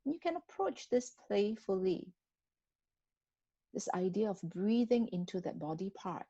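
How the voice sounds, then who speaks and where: Very slow, mellow female meditation guide. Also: mid-range voice, sing-song, breathy edge, dry recording, dull audio. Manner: gentle, unhurried, with long pauses. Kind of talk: guided body scan meditation.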